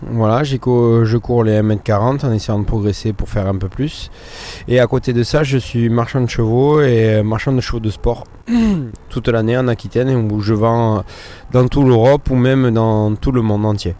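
A professional horse rider and dealer answering interview questions in a hesitant way, with many fillers. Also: male voice, mid-range voice, moderate pace, dark tone.

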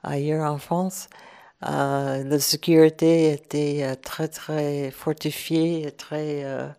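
Woman chatting in french on the radio, slow, flat, bit of vocal fry, lots of pauses looking for words. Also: deep voice. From Ireland. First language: English. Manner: hesitant, searching for French words with many pauses. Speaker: radio guest. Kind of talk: relaxed radio chat.

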